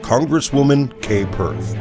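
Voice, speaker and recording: An actor playing a serious, polished television newsmagazine anchor, narrating with measured, dramatic emphasis. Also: male voice, medium pitch, lively intonation, over music, natural voice. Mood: grave, measured. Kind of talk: scripted broadcast news narration.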